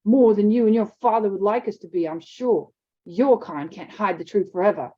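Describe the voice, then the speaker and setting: A woman's voice: mid-range voice, moderate pace, highs cut off, close to an everyday voice. Woman doing a sneering schoolboy bully, audiobook reading. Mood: taunting. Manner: taunting, smug.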